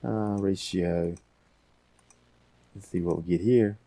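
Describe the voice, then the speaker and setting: A man hosting a casual software tutorial, talking informally and thinking aloud as he goes. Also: low voice, fairly even intonation, slow pace, dry recording. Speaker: audio editing tutorial host.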